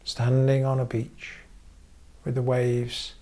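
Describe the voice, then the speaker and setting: A man guiding a meditation as a therapist, giving gentle instruction in short, measured phrases with frequent pauses. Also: mid-range voice, monotone. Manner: measured, pausing often between short phrases. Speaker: therapist leading guided meditation.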